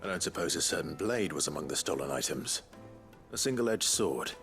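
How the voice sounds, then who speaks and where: A man playing a polite, resolute adventurer in a video game, plain-spoken and measured. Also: rumbling low, animated, fairly bright tone, faint music behind, heavily acted. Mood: calm, determined. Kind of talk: video game cutscene acting.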